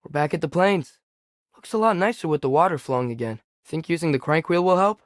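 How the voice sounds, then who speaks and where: A man's voice: on the high side, a little fast, no echo, clean studio sound, lightly acted. A young male actor with an American accent voicing an earnest, curious teenage boy adventurer in a video game, speaking in a casual, questioning way. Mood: inquisitive, matter-of-fact. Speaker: video game companion character. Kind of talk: scripted game dialogue, conversational.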